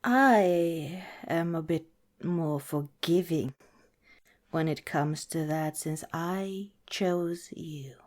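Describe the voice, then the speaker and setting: A woman acts out a protective female vampire girlfriend for ASMR. Her voice is fairly low and very slow, soft and slipping into whispers. She sounds earnest and careful, as if giving serious warnings to someone dear. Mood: cautioning, serious. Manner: soft, deliberate, breathy whispering in places. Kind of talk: ASMR roleplay, partly whispered.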